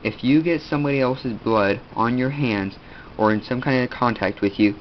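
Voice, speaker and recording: A teenage boy with a stuffed-up nose, casually showing his kit and rambling with lots of fillers. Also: medium pitch. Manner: rambling, halting, many fillers. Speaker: video host. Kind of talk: casual gear show-and-tell. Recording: dull lo-fi audio, faint room noise.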